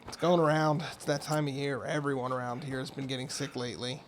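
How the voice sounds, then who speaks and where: A man streaming a game with casual, rambling commentary, hoarse, raspy and a bit mumbly from being sick. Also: mid-range voice, level tone, quick pace, a little hiss or hum. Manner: rambling, chatty asides.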